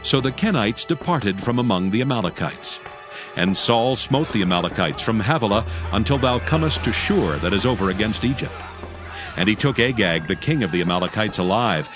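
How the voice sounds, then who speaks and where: A man's voice: lowish voice, animated, a little fast, over music. A man narrating a dramatized scripture reading in a scripted storytelling style.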